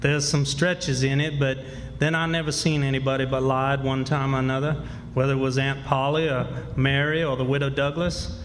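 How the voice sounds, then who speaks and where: A man's voice: mid-range voice, flat delivery, moderate pace, close to an everyday voice. Man playing rustic river boy in an echoey hall. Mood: folksy, matter-of-fact. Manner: rustic, ungrammatical, rambling. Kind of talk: stage radio drama, first-person folksy storytelling. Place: live stage in a hall. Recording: echoey hall stage, background noise.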